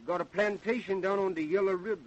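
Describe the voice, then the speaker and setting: An actor playing an aggrieved, frightened farm laborer in a radio drama, earnest and deferential, with a Southern American accent. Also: male voice, high-pitched, fairly even intonation, fast, lo-fi sound. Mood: aggrieved, pleading. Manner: deferential, earnest, folksy dialect. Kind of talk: old-time radio drama acting.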